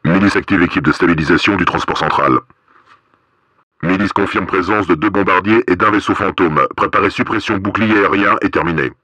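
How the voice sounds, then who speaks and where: An actor playing a stern, cold paramilitary police officer in a video game, giving terse commands through a radio filter. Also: male voice, low-pitched, fairly quick, sharp tone, dry recording. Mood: clipped reporting. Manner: terse, commanding, coded military phrases.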